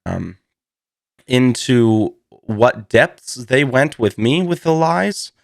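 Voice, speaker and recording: A man hosting a solo podcast in a casual, rambling, self-deprecating way, slightly hoarse from a lingering cold. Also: mid-range voice, very animated, extremely slow, dry recording. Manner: rambling, self-deprecating, laughs through his words. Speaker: podcast host.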